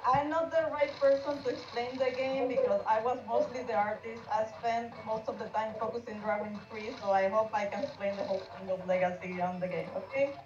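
A woman speaking English as a second language explains a project casually, halting and a little apologetic. Her fairly high voice comes over a roomy, muffled call with music underneath. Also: fairly even intonation, a little mellow, slight background noise. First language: not English. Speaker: game artist presenting team project.

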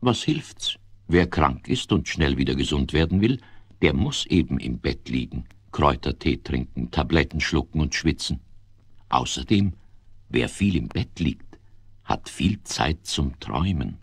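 A man playing a genial narrator, expressive and playful, in a scripted children's story. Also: extremely low pitch, a little slow, creaky, slightly rough, dry recording, full character voice.

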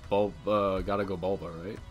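A young man commenting casually on a game with a friend, excitable and sweary, repeating his phrases. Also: deep voice, normal speed. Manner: profane, excitable, repeats himself. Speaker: livestream gamer. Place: indoor room, streaming webcam setup. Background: video game music.

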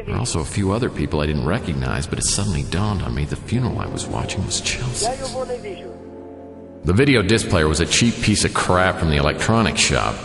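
A man's voice: low voice, echoey room, big-room sound. An actor playing a wry, hard-boiled private detective, narrating his case dryly in a noir radio drama. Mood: brooding, narrating. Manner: dry, wisecracking.

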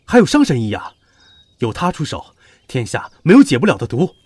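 An actor playing a stern, loyal retainer of a prince in an audio drama, with a husky voice. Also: male voice, medium pitch, wildly swooping pitch, a little fast, darkish tone. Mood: urgent. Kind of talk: Chinese historical audio drama acting. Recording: background music under the voice.

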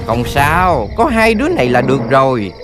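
An actor voicing a bossy wolf gang boss in a children's cartoon, with gruff, exaggerated commands over music. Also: mid-range voice, very animated, moderate pace, natural voice. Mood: sly, commanding. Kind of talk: animated children's cartoon acting. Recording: loud music under the voice.

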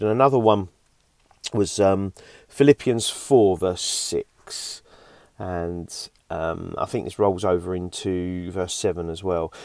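A middle-aged man with a slightly croaky voice after a cough, giving an informal, unhurried online devotional as a youth pastor. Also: low-pitched, darkish tone, no room sound. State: recovering from a cough. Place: inside a camper van.

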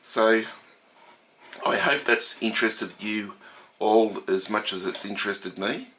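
Casting: middle-aged Australian man, nasal from a head cold, lowish voice, casual unhurried narration. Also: fairly bright tone, a little hiss or hum, rough recording. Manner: slow, thoughtful, with frequent fillers and restarts. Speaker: steam engine collector. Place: home workshop room.

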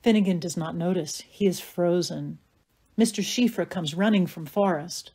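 Woman reading stage directions, warm lowish voice, matter of fact. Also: moderate pace, slightly rough. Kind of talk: scripted narration.

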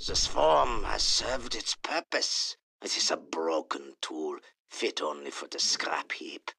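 An actor playing an ancient, contemptuous villain in a video game, gravelly and ominous. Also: male voice, a bass voice, big pitch swings, slow, pushed and bright, a little hiss or hum, heavily acted. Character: sneering ancient shapeshifting villain, older man's guise.

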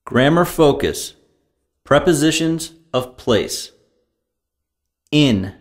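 A man narrating a language course, reading scripted grammar terms and vocabulary in a deliberate, clearly enunciated way. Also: a little high, animated. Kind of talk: scripted lesson reading, word drills.

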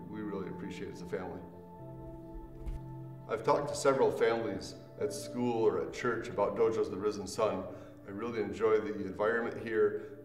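A middle-aged man speaks in a fairly low register about his own experience, unscripted and measured. He is recorded at a distance in a very reverberant room, with music playing behind him. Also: creaky. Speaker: parent and student giving a testimonial. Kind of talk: unscripted spoken testimonial. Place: small indoor room with a couch. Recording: roomy echo, distant mic, faint music behind.

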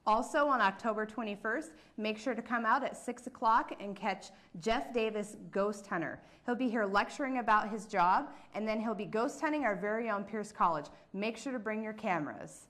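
A woman with a medium-pitched voice hosts a college TV program. She delivers scripted announcements and sign-offs as a presenter. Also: moderate pace, few pauses, a bit bright. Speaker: host of a college TV show.

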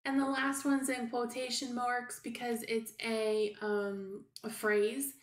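A middle-aged woman teaching young children as a preschool teacher, explaining things simply with hesitant pauses. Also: on the high side, level tone. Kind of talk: read-aloud lesson for young children. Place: small room at home, indoors. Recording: roomy, echoey home recording.

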